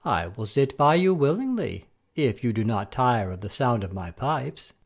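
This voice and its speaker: A man playing a wily messenger god posing as a simple shepherd, with an expressive storyteller's delivery in an amateur audio play. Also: medium pitch, moderate pace. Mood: friendly, humble.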